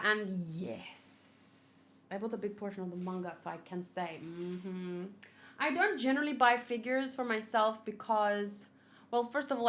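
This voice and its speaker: High-pitched, animated woman streamer. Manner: animated, exclamatory, thinking aloud. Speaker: livestream host. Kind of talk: casual livestream chat, reading and answering viewers.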